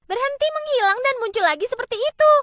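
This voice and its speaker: A woman's voice: very high voice, lively intonation, quick pace, voice pushed up. A woman voicing a curious, feisty young girl in a children's storybook, emphatic and girlish. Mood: indignant. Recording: background music.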